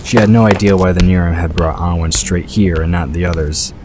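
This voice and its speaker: A man's voice: low voice, flat delivery, fairly quick. A man reading scripted fantasy fiction as the narrator of a story. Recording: clear background ambience noise.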